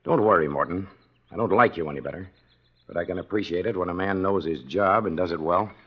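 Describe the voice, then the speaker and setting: An actor playing a wary, gruff, businesslike boss in a 1940s radio drama, blunt and guarded. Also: male voice, deep voice, fast. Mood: blunt, firm. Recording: dull 1940s radio recording.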